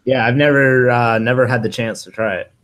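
A man's voice: medium pitch, a little fast, a bit bright. Man chatting casually on a video call. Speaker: guest whisky reviewer. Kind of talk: casual chat. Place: living room at home.

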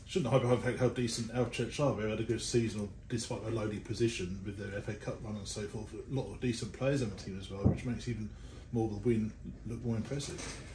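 A man's voice: on the low side, fairly even intonation, a little fast. A man asking informal post-match questions as a club interviewer, recorded in an echoey, noisy room.

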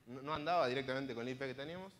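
A man's voice: medium pitch, racing, fairly bright tone. A man in his twenties with an Argentine Spanish accent, giving an informal conference presentation in a casual, colloquial storytelling manner. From Córdoba province, Argentina. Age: 20s. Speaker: conference speaker, software engineer. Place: large auditorium stage, indoors. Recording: reverberant hall sound from a stage.

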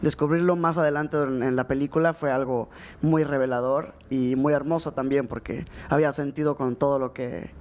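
A man's voice: medium pitch, steady tone, normal speed, a little mellow. A young man with a Mexican accent giving casual, somewhat hesitant interview answers into a handheld microphone. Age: young adult. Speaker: actor being interviewed. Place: indoor festival interview booth. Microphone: handheld microphone held close. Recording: light ambient noise behind the voice.

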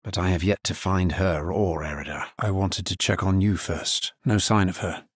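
An actor playing a grave, solemn necromancer hero in a fantasy video game, speaking in a measured, formal manner. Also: male voice, sits low, slightly rough, dry recording, clean studio sound. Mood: grave, restrained. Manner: measured, somber, formal delivery. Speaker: video game player character. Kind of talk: scripted fantasy game voice lines.

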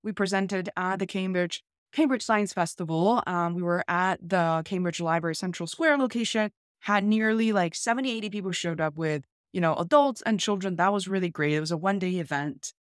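A woman's voice: on the low side, brisk, hardly any pauses, dry recording. Female video host, 30s to 40s, conversational demo delivery, dry home recording. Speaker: YouTube host. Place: small home room, indoors.

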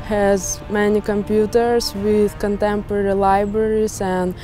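A young woman with a Russian accent talks carefully about her studies in a level, unvaried voice. She was recorded outdoors in a park. A clear music bed plays underneath. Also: medium pitch, little pitch movement, unhurried, warm tone. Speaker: music student. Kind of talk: interview testimonial.

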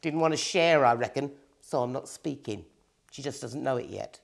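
A woman's voice: very deep voice, moderate pace, vocal fry, exaggerated voice. A woman voicing a greedy, lazy, sulky witch in a children's storybook, whining in colloquial speech. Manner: colloquial, whiny, dropped words.

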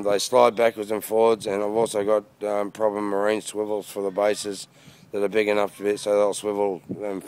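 Australian male, casual private seller on handheld phone video, low monotone, matey and slangy, outdoor background noise. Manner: blunt, matey, slangy with mild swearing. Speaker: private seller showing his boat. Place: outdoors in a car park.

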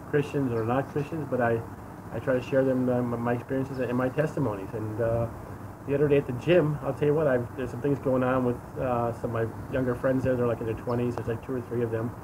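An American man in his sixties giving a casual, earnest, emphatic personal testimony, often repeating himself. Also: mid-range voice. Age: 60s. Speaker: interview guest giving testimony. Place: outdoors against a sunlit wall. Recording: outdoor phone recording, clear traffic noise. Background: vehicle and street noise.

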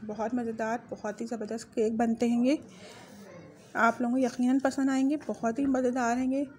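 A woman's voice: a little high, flat delivery, normal speed, darkish tone. A woman hosting a home cooking video, chatting her way through a recipe and correcting her own measurements as she goes. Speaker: home cooking channel host. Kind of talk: casual recipe walkthrough. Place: home kitchen.

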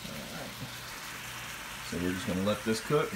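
A man's voice: lowish voice, animated, moderate pace. Chatty man filming in an echoey kitchen. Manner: chatty, restarts and fillers, enthusiastic. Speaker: vlogger reviewing a kitchen skillet. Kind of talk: casual product review while cooking. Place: home kitchen. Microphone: camera mic, speaker off screen. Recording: echoey kitchen with faint background noise.

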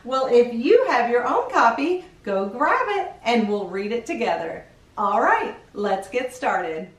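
A middle-aged woman hosting children's storytime, animated and sing-song, speaking directly to young listeners. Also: a little high, measured pace, roomy sound. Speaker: children's read-aloud show host. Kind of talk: children's storytime presenting and picture-book reading.

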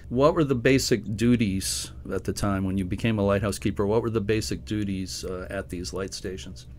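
A man hosting a podcast, asking relaxed, conversational interview questions. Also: on the low side, moderate pace, a little hiss or hum. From United States. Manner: relaxed, conversational, with restarts and fillers.

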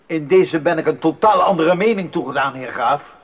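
An actor playing a proud, defiant court musician in a radio drama, speaking formally with declamatory indignation. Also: male voice, on the high side, normal speed, slightly rough. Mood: defiant, indignant. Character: proud, defiant male court musician standing up to his lord. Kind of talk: 1980s radio play acting. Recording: dull, band-limited 1980s radio recording.

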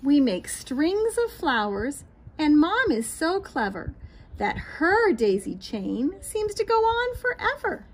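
A middle-aged American woman reading a picture book aloud to young children in an expressive, sing-song way, outdoors on a patio. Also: sits high, slow pace. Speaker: children's read-aloud host, teacher. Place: small backyard patio, outdoors. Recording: light outdoor background noise.

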